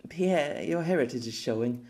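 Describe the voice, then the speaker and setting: A male narrator puts on an earnest young woman for an audiobook story. She is playful and kind, with a gentle, teasing way of talking. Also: mid-range voice, animated, moderate pace, lightly acted.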